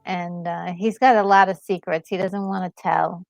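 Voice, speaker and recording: A woman's voice: on the low side, fairly even intonation, moderate pace, gravelly. A woman co-hosting a game playthrough, musing aloud in casual, hesitant reaction commentary. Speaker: gaming co-host. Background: game music.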